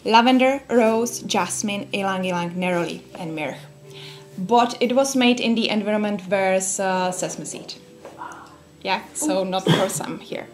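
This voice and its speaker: A woman's voice: medium pitch, a little slow, faint music behind. A young woman from the Czech Republic with a Czech accent, giving a friendly, casual talk to a small group with plenty of fillers and restarts. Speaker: speaker giving a workshop talk. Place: room with a group of listeners.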